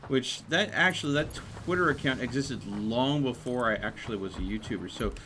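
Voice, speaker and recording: A man streaming a game, chatting casually in a rambling, halting way. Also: medium pitch, lively intonation, bright, forceful tone, slight background noise. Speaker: live streamer. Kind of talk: casual gaming livestream chat.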